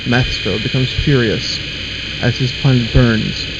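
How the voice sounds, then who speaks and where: A man reading the stage narration of an amateur audio drama in a plain, measured way. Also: medium pitch, fairly even intonation, very noisy. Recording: dull audio, loud music.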